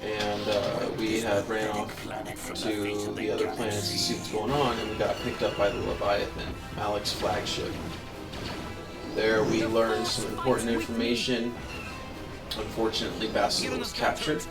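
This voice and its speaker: A man with a hoarse, worn-out voice giving rambling, easygoing commentary as a game streamer. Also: lowish voice, level tone, moderate pace. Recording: roomy, distant mic under loud music. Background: loud music.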